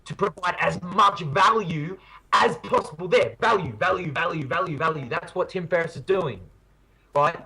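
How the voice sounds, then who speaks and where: A man's voice: high voice, normal speed, gravelly, sharp tone, low-quality recording. A man hosting a podcast in casual chat, playful and jokey, hyping ideas with enthusiasm. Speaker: podcast host.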